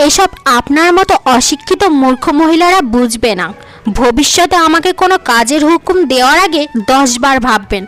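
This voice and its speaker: A woman doing a haughty, shrill young wife. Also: expressive, normal speed, a bit bright, soft background music. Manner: sneering, bossy, shrill.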